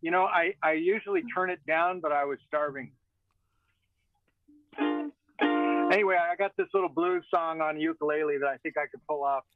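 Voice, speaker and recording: A man's voice: fairly high pitch, lively intonation, moderate pace, long pauses, phone-quality sound, faint music behind. An older man chatting casually as a songwriter at an online open mic, on a thin video call from inside a van. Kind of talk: casual chat. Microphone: video call device.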